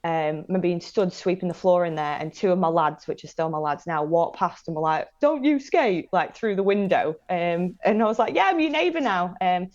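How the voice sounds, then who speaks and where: Northern english girl late 20s, lowish voice, chatty n slangy podcast guest, bit muffled lo-fi sound. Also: lively intonation, a little fast, hardly any pauses, darkish tone. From northern England, Manchester and Blackpool area. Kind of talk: casual chat, personal anecdotes.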